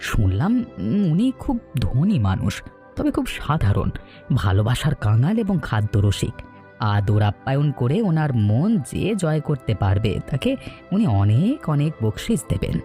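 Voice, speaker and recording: A man's voice: medium pitch, big pitch swings, normal speed, warm tone, faint music behind, lightly acted. A man voicing a cheerful, chatty village rumour-bearer in a cartoon folk tale. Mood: chatty, informative. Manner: sing-song, friendly, colloquial.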